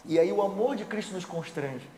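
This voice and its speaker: A man teaching a Sabbath school class, reading scripture and explaining it in a measured way with rhetorical questions. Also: sits high, expressive, mellow, slight background noise. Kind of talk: Bible study discussion. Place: church sanctuary. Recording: echoey church hall with long reverb.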